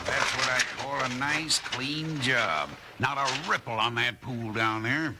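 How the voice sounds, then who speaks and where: An American actor playing a ruthless, scheming mine-grabbing villain in a 1950s radio western, with hard-boiled, folksy tough talk. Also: male voice, mid-range voice, animated, unhurried, natural voice. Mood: smug, cold. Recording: hissy, clicking 1950s radio recording.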